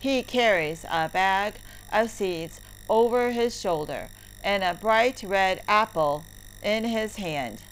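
A woman narrating a story lesson for English learners, reading deliberately word by word. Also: medium pitch, lively intonation. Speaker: teacher narrator. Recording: heavy background noise, clicks.

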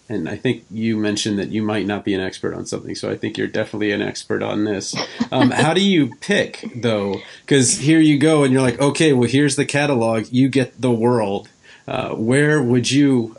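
A man's voice: medium pitch, gravelly. A middle-aged man hosting a casual interview, asking rambling questions that he rephrases as he goes. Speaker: podcast host, interviewer.

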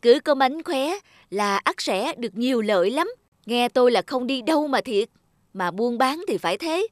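A woman voicing a shrewd, insistent young village wife in an animated folk tale, with a Northern Vietnamese accent. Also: on the high side, moderate pace, no room sound, studio quality, close to an everyday voice. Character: shrewd, calculating young village wife advising her husband.

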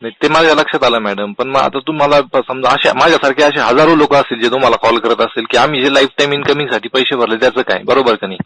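A man's voice: mid-range voice, quick pace, hardly any pauses, fairly bright tone, poor audio. A man making a phone complaint, confrontational and insistent, pressing with repeated questions. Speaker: customer calling a complaint line. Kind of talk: argumentative complaint phone call.

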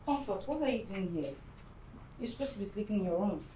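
An actress playing a weary, protective woman who speaks gently and quietly to a child in film dialogue. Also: mid-range voice, animated, brisk, a little hiss or hum, close to an everyday voice, poor audio. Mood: weary, soothing.

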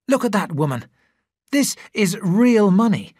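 A man's voice: high-pitched, sing-song, normal speed, no echo, clean studio sound, pitched above the performer's own voice. A man doing an eager, touchy young doctor. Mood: excited. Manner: eager, defensive.

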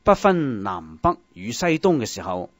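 A man with a Hong Kong Cantonese accent delivers scripted Buddhist narration in a measured, expressive way, as if reciting verse. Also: on the low side, no echo, studio quality.

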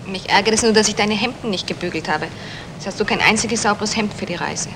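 An actress playing an anxious, questioning wife in a radio crime comedy, speaking in an earnest manner. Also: female voice, medium pitch, fast talker, natural voice. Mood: puzzled. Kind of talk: 1960s German radio play acting. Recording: noisy old radio play recording.

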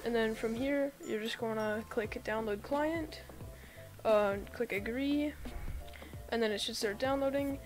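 A woman's voice: a little high, measured pace, faint music behind. A woman with a sick-sounding voice, chatting casually to viewers as she talks them step by step through a computer setup. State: sick, voice a bit off. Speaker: YouTube tutorial creator. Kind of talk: casual screen-recorded tutorial voice-over.

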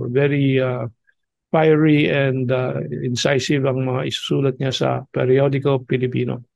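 An older man with a Filipino accent hosting news commentary, halting and opinionated, reading articles aloud with his own asides. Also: a little high, creaky, a little mellow, dry recording. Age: 60s or older. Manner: halting, opinionated, with frequent pauses. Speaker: host of an online news commentary show.

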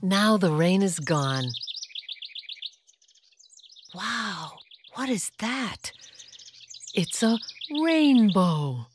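A woman giving scripted children's educational narration, gentle and patient, asking toddlers simple questions. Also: mid-range voice, big pitch swings, extremely slow, pauses often, heavy background noise. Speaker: narrator of a children's alphabet video. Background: children's background music.

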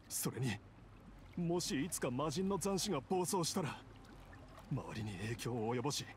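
A man's voice: medium pitch, a little hiss or hum, natural voice. A man acting an earnest, emotive, resigned young man. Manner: earnest, wistful, heartfelt. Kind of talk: Japanese anime game acting. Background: background music.

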